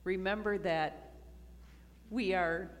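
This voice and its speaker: A woman pastor giving a slow, earnest communion sermon to her congregation in a church. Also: fairly low pitch, fairly even intonation. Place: church sanctuary. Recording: reverberant church hall.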